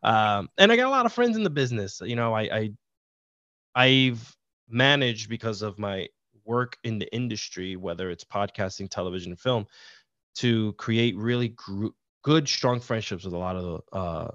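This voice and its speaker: A man's voice: lowish voice, lively intonation, normal speed, a bit bright, no room sound. Easygoing man on dull, dry call audio. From Puerto Rico. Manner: easygoing, rambling storyteller. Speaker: podcast guest. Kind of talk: casual interview chat. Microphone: video call.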